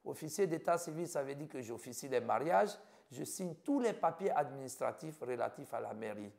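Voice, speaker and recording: Ivorian French man, 50s, mid-pitched and a bit gravelly, earnest interviewee who lists things off in short phrases. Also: expressive, moderate pace, darkish tone. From Côte d'Ivoire. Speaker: doctor interviewed about his life. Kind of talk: personal account in an interview.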